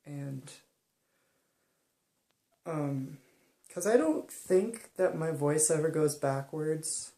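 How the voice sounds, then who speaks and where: A young woman speaking softly and hesitantly in a personal monologue, pausing often. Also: low-pitched, animated, echoey room. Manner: soft-spoken and hesitant, many pauses and ums. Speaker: vlogger.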